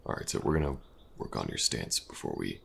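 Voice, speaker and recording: A man playing an elven mercenary companion in an intimate fantasy audio roleplay, patient and gentle, with a hesitant, casual way of talking. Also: very animated, a little hiss or hum, heavily acted. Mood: patient, instructive. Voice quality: very deep, gravelly. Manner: hesitant, soft-spoken, casual, with halting pauses.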